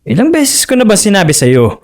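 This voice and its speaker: Stubborn fisherman husband, fairly high voice, proud, set in his ways, radio drama. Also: huge pitch swings, a little fast. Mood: heated. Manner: easygoing at first, then heated and raising his voice.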